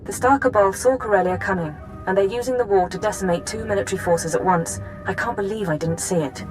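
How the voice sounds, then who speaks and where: A woman playing a grave, driven intelligence chief in a sci-fi game, briefing in a measured, formal way. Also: mid-range voice, music in the background, natural voice, low-quality recording. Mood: grave, determined. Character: grave, driven female intelligence chief, controlled under strain. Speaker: mission handler in a sci-fi game. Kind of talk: dramatic game voice acting.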